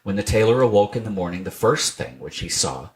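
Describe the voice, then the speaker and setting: A man narrating a children's storybook in an expressive, scripted reading style. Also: fairly low pitch, fairly quick. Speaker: storybook reader.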